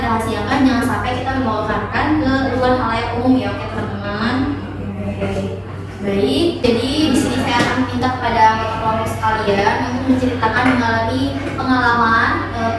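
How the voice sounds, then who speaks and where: Young woman facilitating a group session. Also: on the high side. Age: 20s. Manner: friendly, many fillers. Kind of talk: leading a role-play counseling session. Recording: echoey room from far off, people around.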